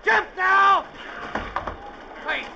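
An irascible old sea captain in his seventies, domineering and gruff. He barks and grumbles at his crew in classic radio drama style. The recording is muffled and very noisy. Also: very high voice, very slow, heavily acted. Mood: shouting orders. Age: 70s. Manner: barking orders, irascible, grumbling.

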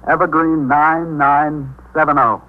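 An actor playing an emotional, good-natured young sailor in a 1950s radio drama, with a hesitant, folksy delivery. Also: male voice, fairly high pitch, steady tone, measured pace, close to an everyday voice. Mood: anxious. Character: anxious, grieving young sailor husband, good-natured and excitable. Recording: tinny, noisy 1950s radio recording.

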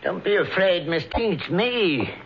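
A man's voice: sits high, lively intonation, a little slow, a little hiss or hum. A man playing a crazed night watchman, insistent and eerily matter-of-fact, in 1940s radio acting style on a muffled vintage recording.

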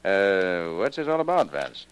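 An actor playing a brusque, grumbling music publisher with blunt, slangy, wry talk in a 1940s radio drama. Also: male voice, low-pitched, sing-song, measured pace, clean tone, exaggerated voice. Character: brusque, grumbling male music publisher, streetwise showbiz hustler.

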